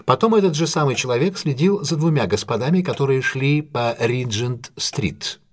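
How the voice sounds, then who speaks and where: An actor in an audio drama playing a shrewd, commanding gentleman detective, husky, courteous and intense, with theatrical deliberate delivery. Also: medium pitch, close to an everyday voice. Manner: theatrical, authoritative, deliberate questioning.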